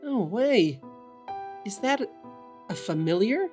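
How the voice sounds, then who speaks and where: A woman acts a young male witch in training for an audio drama. He is gentle and curious, talking casually with wide swings of pitch at a slow pace. Faint music sits underneath. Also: lively intonation. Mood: startled. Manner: soft-spoken, kindly, casual. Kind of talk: audio drama character acting.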